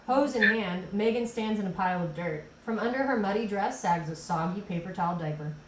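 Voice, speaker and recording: A woman's voice: medium pitch, animated, a little fast, background noise. A woman narrator reading screenplay scene headings and action lines at a table read. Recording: echoey room recording.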